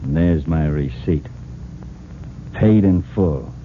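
Cold gangster, vintage radio crime serial: very low, curt, tough, tinny with heavy hiss. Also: male voice, very slow, heavily acted. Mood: cold menace. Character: cold, menacing criminal boss, adult man. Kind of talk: old-time radio drama acting. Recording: noisy narrow-band vintage radio recording.

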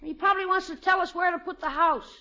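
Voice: extremely high pitch, steady tone, fast talker, bright, forceful tone, heavily acted. A performer playing a cheeky, brash, wisecracking schoolboy nephew in a 1950s radio sitcom. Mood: eager. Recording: dull old 1950s radio recording.

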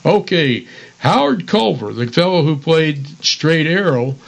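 Chatty older man, slightly high voice. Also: expressive, a little slow, gravelly. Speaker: radio show co-host. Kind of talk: casual chat about old-time radio.

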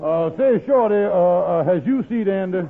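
High, gravelly, folksy radio-comedy pal. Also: male voice, lively intonation, moderate pace, loud music behind the voice. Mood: concerned. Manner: hesitant, coaxing, folksy ungrammatical dialect. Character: nosy, folksy male friend in comic sitcom. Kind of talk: 1940s radio comedy acting. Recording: muffled narrow-band old radio recording.

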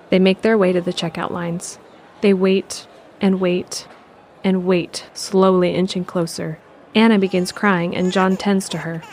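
A woman narrating an audio drama in a calm, measured, descriptive way. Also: lowish voice, a little hiss or hum. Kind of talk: scripted narration.

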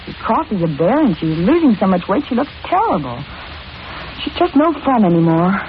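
An actress playing a chatty, concerned friend in a 1940s radio commercial. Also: female voice, mid-range voice, big pitch swings, moderate pace, lightly acted. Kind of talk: 1940s radio advertisement acting. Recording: muffled, hissy old radio recording.

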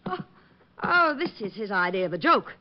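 An actress playing a headstrong, high-strung stage performer, dramatic and insistent, in a 1950s radio drama. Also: female voice, sing-song, moderate pace, close to an everyday voice. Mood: agitated. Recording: muffled, noisy vintage radio recording.

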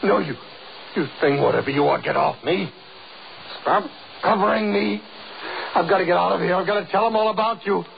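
Casting: obsessive, commanding doctor for vintage radio horror; raised gravelly voice, dull hissy broadcast sound. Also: male voice, sits high. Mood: terrified. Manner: urgent, commanding, melodramatic. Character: obsessively curious male doctor, headstrong, turning terrified. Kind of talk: 1930s radio horror acting. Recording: noisy, dull 1930s radio recording.

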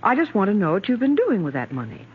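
An actress playing an earnest, strained stepmother, gently firm, in an old radio drama. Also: medium pitch, huge pitch swings, fast, slightly rough. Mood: firm questioning. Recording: dull vintage radio recording, faint noise.

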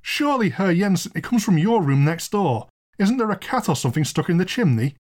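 A man's voice: sits high, sing-song, fairly quick, a little mellow, pitched above the performer's own voice. Here is a man acting an agitated lawyer from a storybook. He speaks formally and indignantly, firing insistent questions in a raised voice. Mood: frantic. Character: agitated male lawyer, angry, sharp and insistent.

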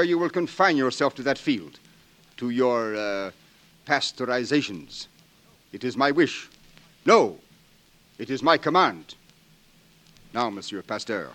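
An actor playing an impatient French emperor in a 1940s radio drama, with a formal, pompous, commanding delivery. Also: male voice, medium pitch, lively intonation, measured pace, long pauses, fairly bright tone. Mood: stern. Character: imperious, impatient French emperor holding court. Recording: old 1940s radio broadcast.

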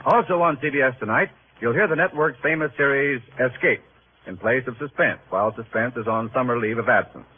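A man's voice: mid-range voice, fairly quick, slightly rough. A man working as a network radio announcer, reading scripted promos in a vintage 1940s style. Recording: tinny old radio broadcast, faint noise.

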